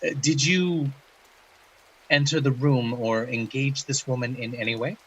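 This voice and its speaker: An actor playing a formal, methodical investigator of strange events, speaking in a clinical, hesitant, measured way in an audio drama. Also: male voice, medium pitch, fairly even intonation, a bit bright, slightly rough, lo-fi sound. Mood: probing, polite. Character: formal, methodical paranormal investigator, male, narrating case tapes. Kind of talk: audio drama, scripted case-file narration and interview.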